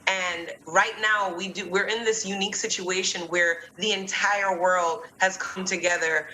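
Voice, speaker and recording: A woman's voice: lowish voice, fairly even intonation, measured pace, bright, forceful tone. A woman raised in Jamaica, talking casually and chattily with lots of fillers and restarts. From born in New York, raised in Kingston, Jamaica. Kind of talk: casual conversational discussion. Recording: echoey room with faint ambient noise.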